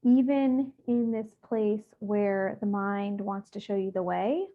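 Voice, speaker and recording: A woman leading a guided meditation, speaking gently and without hurry, with soothing instructions and pauses. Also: medium pitch, fairly even intonation. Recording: thin, phone-like narrow-band audio.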